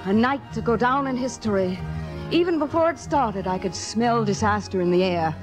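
A woman plays a forty-year-old female theater star in an old radio drama. Her voice sits fairly high and drips with biting, jealous sarcasm. Music sits in the 1950s broadcast recording. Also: normal speed, close to an everyday voice. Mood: wry foreboding.

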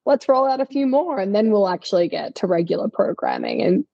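A woman's voice: mid-range voice, expressive, a little fast, creaky, no echo. A woman of about thirty co-hosting a podcast, chatting casually and candidly in a long-winded storytelling way, recorded on a makeshift setup. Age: 30s. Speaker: podcast co-host. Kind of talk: casual conversational podcast chat. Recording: slightly dull makeshift mobile setup.

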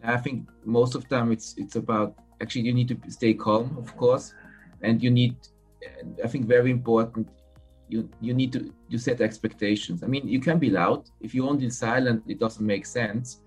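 A man's voice: medium pitch, fairly even intonation, husky, soft background music. A man from Switzerland with a Swiss accent, a podcast guest talking frankly and often restarting his sentences. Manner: frank, halting, restarts phrases mid-sentence. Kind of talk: casual interview conversation.

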